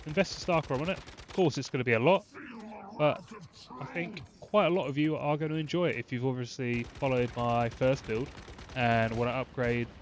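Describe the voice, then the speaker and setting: A man's voice: medium pitch, steady tone, moderate pace, slight background noise. A man with a stuffy, blocked-up voice from a cold, casually explaining a game build with lots of fillers and restarts. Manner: rambling, frequent fillers and restarts. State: congested from a cold. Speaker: gaming channel host. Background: game gunfire and explosions.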